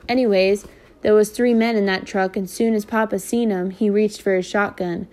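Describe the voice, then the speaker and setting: A woman's voice: mid-range voice, steady tone, normal speed, warm tone, close to an everyday voice. A woman voicing a shaken teenage boy who tells of an attack in rural Southern dialect speech.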